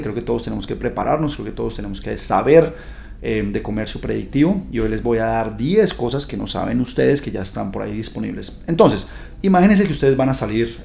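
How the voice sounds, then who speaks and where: Male podcast host, gravelly and warm in tone, chatty and upbeat, speaks straight to listeners. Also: mid-range voice. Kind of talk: casual podcast monologue.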